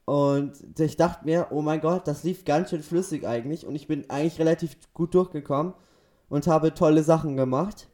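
Young male podcast co-host, slightly high-pitched, casual, stumbles and restarts while telling stories. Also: warm tone. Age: teenager or young adult. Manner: halting, self-correcting storytelling. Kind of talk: casual chat.

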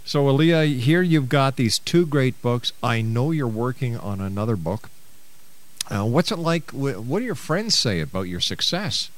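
A man's voice: mid-range voice, animated, moderate pace. A man hosting a radio interview, speaking as a presenter to his guest and listeners. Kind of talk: radio interview, partly reading a book synopsis.

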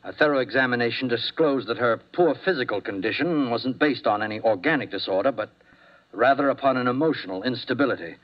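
An actor playing a nervous, formal doctor in 1950s radio style, flustered and halting, with precise diction. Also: male voice, medium pitch, natural voice. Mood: nervous, defensive. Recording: dull 1950s radio recording.

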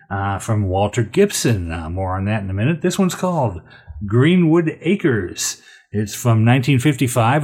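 An American man hosts a radio program in a casual, folksy style. His voice sits at a medium pitch and he chats informally to listeners. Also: a little mellow. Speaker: radio show host. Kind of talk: casual, folksy old-time radio show hosting.

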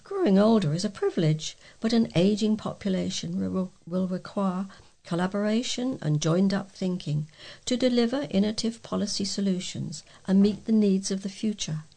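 Very creaky woman reading news. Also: mid-range voice, moderate pace, mellow. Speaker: volunteer newsreader for a local talking newspaper. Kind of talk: read-aloud local news.

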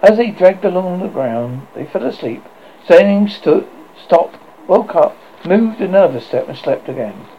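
A man reading a classic children's novel aloud, expressive but stumbling and restarting often, on a muffled lo-fi recording with faint hiss. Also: sits high, a little slow. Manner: expressive, with frequent slips and restarts. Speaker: audiobook reader.

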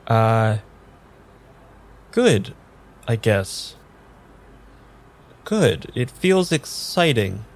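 An actor playing a nervous, hesitant amnesiac man in an improvised radio drama, talking in a halting, understated way. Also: lowish voice, sing-song, full character voice. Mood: hesitant, puzzled. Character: nervous, hesitant amnesiac man having his tarot read.

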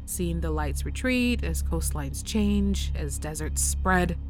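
A woman's voice: on the low side, animated, slow, soft background music. A woman playing a worried, professional lunar engineer in an audio drama, formal but uneasy as she records messages and logs. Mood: shaken, mournful. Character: worried, professional lunar mining lead engineer, long isolated. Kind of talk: audio drama radio calls and log.

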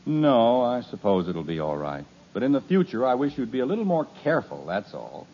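A patient, dry-witted middle-aged father in a 1950s radio sitcom, his lines sliding up and down with lots of expression. The voice is a bit husky, and the old radio transcription sounds dull. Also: mid-range voice, big pitch swings, fairly quick. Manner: dry, weary sarcasm.

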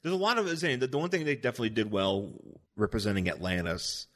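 Rambling man podcast co-host, lowish voice. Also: fast talker. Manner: rambling, restarts a lot, swears casually, mock quotes. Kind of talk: casual chat.

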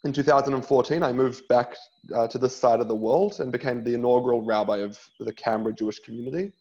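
A man in his thirties from New Zealand speaks as a rabbi in an interview. His middle-pitched voice comes through slightly dull, lo-fi audio. He talks earnestly, with frequent uhs and restarts. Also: fairly even intonation, fast, mellow. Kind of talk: interview guest telling his story.

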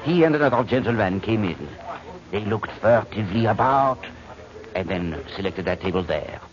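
Man playing an excitable fussy restaurant manager, oddly formal, lowish voice, 1940s radio mystery, dull recording. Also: normal speed, lightly acted. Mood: eager, excited. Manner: formal, melodramatic phrasing. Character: eager, fussy male restaurant manager, detective-story fan, excitable. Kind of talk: 1940s radio drama acting.

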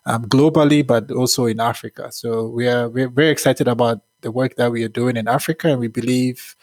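A man in his thirties from Ghana, a tech founder answering interview questions in a measured, thoughtful way with frequent fillers. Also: medium pitch, fairly even intonation, a little mellow, dry recording.

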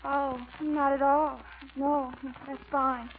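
A woman's voice: sits high, flat delivery, slow pace, slight background noise. An actress playing a restless, flighty, popular young woman in an old radio comedy, chattering and gushing. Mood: polite, deflated. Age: young adult. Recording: tinny old radio recording, music behind.

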